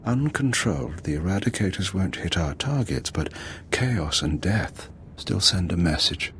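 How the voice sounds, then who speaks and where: An actor playing a bitter, grandiose revolutionary leader in a video game, speaking in deliberate, theatrical speeches. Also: male voice, deep voice, animated, a bit bright, slight background noise. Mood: defiant.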